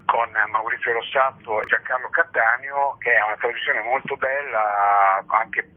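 A man's voice: mid-range voice, fairly even intonation, measured pace. A man being interviewed as a festival organiser, explaining things in an earnest, reflective way and often rephrasing himself. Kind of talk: radio interview, spontaneous explanation. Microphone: phone call. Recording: band-limited telephone line on radio.

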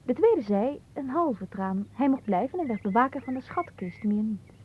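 A woman's voice: a little high, expressive, moderate pace, dark tone, a little hiss or hum, lightly acted. An actress playing a capricious, haughty young woman in a radio play, teasing and theatrical, with a dreamy storytelling way. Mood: dreamy, wistful. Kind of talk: Dutch radio play acting.

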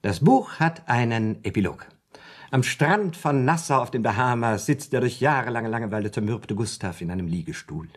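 An actor playing an eager storyteller in a German radio play, spinning out a crime plot with theatrical suspense. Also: male voice, mid-range voice, animated, moderate pace, breathy edge. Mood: dramatic narrating. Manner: theatrical, suspenseful, acts out lines.

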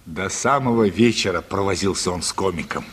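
Narrator for radio drama: man, low and husky, expressive literary delivery, reverberant hall sound. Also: a little fast.

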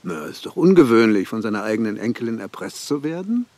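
A man's voice: mid-range voice, lively intonation, quick pace, gravelly, darkish tone, dry recording. A man playing a wry, needling police detective who also coaches football, in a radio crime drama. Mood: probing. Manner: sardonic, probing.

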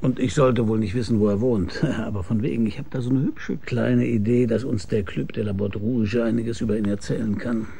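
A wry private eye played by a man tells his radio crime story in a mellow, creaky voice. He is dry and laconic, with a middling pitch and a faint noise behind him. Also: steady tone, brisk, few pauses, close to an everyday voice. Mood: wry, easygoing. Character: wry hard-boiled male private detective. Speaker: lead actor and narrator. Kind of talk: radio crime drama, first-person narration.

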